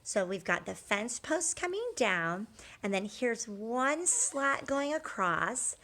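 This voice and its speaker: A woman's voice: fairly high pitch, sing-song, slow pace, sharp tone. A woman teacher talking children through a drawing step by step, conversational and encouraging, often checking in with short questions.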